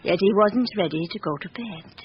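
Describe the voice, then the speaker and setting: An actress playing an elderly, aristocratic French widow in a vintage radio drama, speaking formally and candidly with composure. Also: female voice, medium pitch, moderate pace, lightly acted. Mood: composed, wistful. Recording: dull, hissy old radio broadcast.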